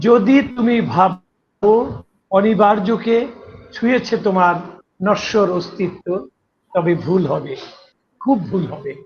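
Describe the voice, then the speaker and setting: A man poet from Bangladesh reciting his poem with a slow, halting delivery. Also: high voice, a little hiss or hum. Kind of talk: poetry recitation. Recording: muffled audio with ambience.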